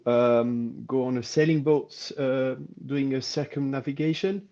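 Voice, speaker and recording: A man, a film director speaking hesitantly with many pauses on a panel. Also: medium pitch, darkish tone. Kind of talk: casual panel talk. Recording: dull lo-fi call audio.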